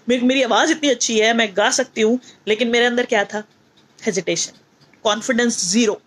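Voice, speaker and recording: High-pitched North Indian woman teaching with emphasis. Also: fairly bright tone. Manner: emphatic, repetitive, asks rhetorical questions. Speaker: teacher and motivational speaker. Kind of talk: motivational lesson mixing Hindi and English.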